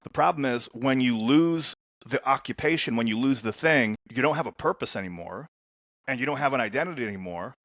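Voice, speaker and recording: Lebanese-born guy coaching men, talks fast and excited, repeats himself starting out, dry close sound. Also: mid-range voice, no echo. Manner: energetic, storytelling, repeats words when starting sentences. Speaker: men's transformation coach, guest. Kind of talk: casual interview talk.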